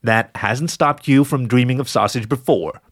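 A man's voice: medium pitch, normal speed, no room sound, natural voice. A man voicing a tired, exasperated male jackal with a dry, sarcastic way of questioning in an audio story. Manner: weary, deadpan questioning.

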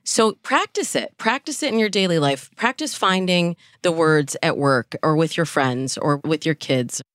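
A woman's voice: low-pitched, lively intonation, normal speed, no room sound, clean studio sound. A woman speaking as a dating coach and interview guest, explaining relationship advice conversationally with illustrative examples.

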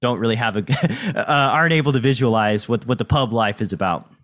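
A man's voice: mid-range voice, lively intonation, fairly quick, a bit bright. A man hosting an interview, asking questions and making dry, teasing asides. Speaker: interviewer host.

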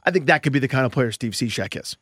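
A man's voice: medium pitch, animated, fast talker, fairly bright tone, slightly rough, no room sound, clean studio sound. A man co-hosting a sports podcast in casual, joking banter. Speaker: podcast co-host.

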